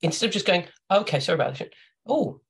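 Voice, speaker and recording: A man's voice: sits high, animated, racing, gravelly, fairly bright tone, husky. A man hosting a podcast, telling rambling, playful stories in casual chat. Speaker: podcast host.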